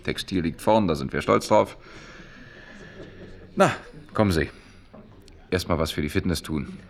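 Blunt, gravelly, low-voiced streetwise man. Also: unhurried. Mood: jaunty. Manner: blunt, colloquial, wry. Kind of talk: German radio play dialogue.

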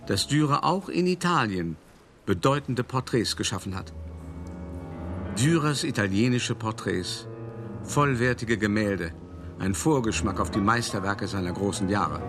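A low-voiced, cultured art forger. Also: male voice, lively intonation, music in the background. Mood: quietly scheming. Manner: measured, reflective, articulate storytelling. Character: cultured, self-satisfied male art forger confessing his scheme. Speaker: first-person narrator. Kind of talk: radio drama monologue.

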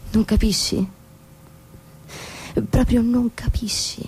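An actress playing a frustrated, fervently persuasive suburban wife in a radio drama, intense and insistent, leaning on repeated words. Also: female voice, a little high, steady tone, takes it slowly, a little mellow, whispered. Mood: intense, pleading. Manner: intense, insistent, emphatic repetitions. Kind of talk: stage-style radio drama dialogue.

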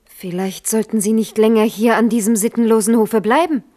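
A woman's voice: fairly high pitch, fairly even intonation, moderate pace, close to an everyday voice. A woman playing a nervous young princess. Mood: hushed. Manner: hushed, anxious, drops to whispers. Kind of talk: 1970s radio play acting.

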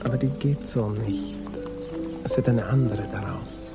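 Evasive, creaky-voiced gentleman lover, old radio drama. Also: lowish voice, a little slow. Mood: musing, absent. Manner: evasive, hesitant, courteous. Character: evasive male violinist lover, polite but emotionally detached. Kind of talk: 1950s German radio drama acting. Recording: 1950s radio recording with music behind.

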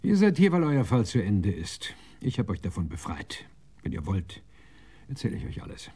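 Self-assured police sergeant, dark mellow timbre, raspy, measured storytelling, radio crime drama. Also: male voice, fairly low pitch, wildly swooping pitch, full character voice. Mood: pleased, explanatory.

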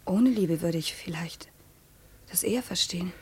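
An actress playing a tender, overwrought widow in a 1950s German radio drama, breathy and emotional, hushed or exclaiming. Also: female voice, fairly low pitch, moderate pace. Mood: hurt, resigned. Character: tender, overwrought widow in love, anxious and pleading.

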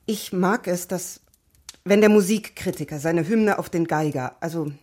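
An actress playing an uneasy, cautious book-club newcomer, halting as she feigns enthusiasm, in a German radio drama. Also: fairly low pitch, a little mellow, close to an everyday voice. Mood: careful praise. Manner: hesitant, halting.

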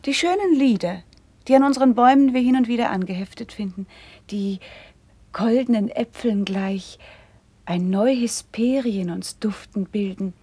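An actress playing a gentle, thoughtful noble princess, speaking formal verse in a measured, soft-edged way in a classical radio drama. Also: female voice, on the high side, slightly rough, dry recording. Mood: gently probing. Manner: measured, formal, poetic declamation.

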